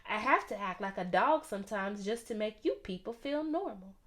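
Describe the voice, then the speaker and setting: A woman's voice: medium pitch, lively intonation, moderate pace, fairly bright tone, lightly acted. A woman voicing a sassy, unapologetic family dog who narrates a storybook.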